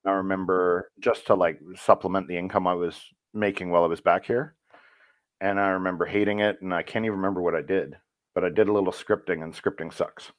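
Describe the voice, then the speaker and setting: A man who works as a voice actor, talking casually in an interview and telling stories in a relaxed, rambling way with fillers. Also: low-pitched, fairly quick, no room sound. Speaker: voice actor guest.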